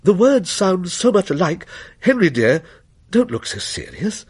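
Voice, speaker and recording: Man playing anxious, placating mother, pitched up. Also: very animated, a little slow, a little mellow, slightly rough, heavily acted. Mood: composed, placating. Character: anxious wife and mother, placating, self-possessed turning pleading. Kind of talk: dramatic ghost story reading.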